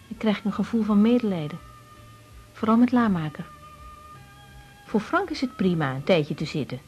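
A woman's voice: mid-range voice, darkish tone, highs cut off, natural voice. An actress playing a naive, confiding young woman doctor with emotional delivery in a 1980s radio drama, with a Netherlands Dutch accent.

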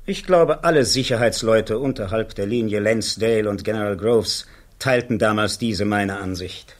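An actor playing a blunt, confident army security officer who testifies in clipped, matter-of-fact answers in a radio play. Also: male voice, fairly low pitch, moderate pace, close to an everyday voice. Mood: firm. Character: blunt, self-assured male army colonel, security officer.

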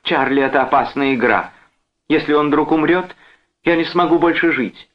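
A man's voice: a little high, moderate pace, lo-fi sound, pitched above the performer's own voice, close to an everyday voice. A man voicing an anxious, protective young wife with a pleading, whining, agitated delivery in an audiobook. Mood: desperate insistence.

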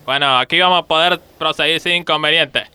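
A stern male referee in a radio comedy, acted by a man, with a very bright, forceful voice. He sounds crisp and fussy, laying down the law with mock formality. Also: a little high, a little fast. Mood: calm mediating. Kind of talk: comic radio play acting.

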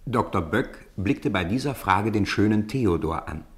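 A man reads the story between scenes of an old radio play. His voice sits low, yet his pitch moves a lot as he brings the tale to life. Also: animated, measured pace. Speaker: narrator.

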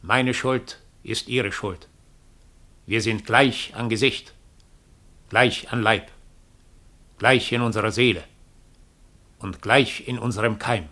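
An uncanny double, a self-confessed murderer bearing a sentence. He speaks at a medium pitch, solemn and implacable, with measured declamation. It is 1960s German radio drama acting. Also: steady tone, pushed and bright. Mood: grave, insistent.

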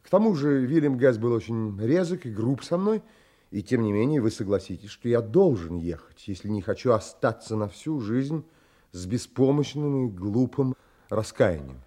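Man playing an earnest, animated young gentleman, husky. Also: medium pitch, moderate pace, lightly acted. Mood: earnest, urgent. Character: earnest, determined young gentleman seeking sea passage. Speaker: actor narrating and playing the lead. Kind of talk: dramatised audiobook acting.

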